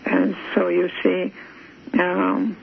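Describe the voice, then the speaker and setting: A woman's voice: lowish voice, sing-song, vocal fry. Older Hungarian woman on a phone line. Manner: slow, hesitant, with many fillers and restarts. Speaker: guest. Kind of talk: phone interview. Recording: tinny phone line, faint hiss.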